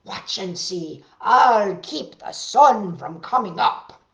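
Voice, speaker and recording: Lady playing a big show-off bear, loud slow roaring brags, echoey room. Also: medium pitch, lively intonation. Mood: boastful. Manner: loud bragging roars. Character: big boastful male bear.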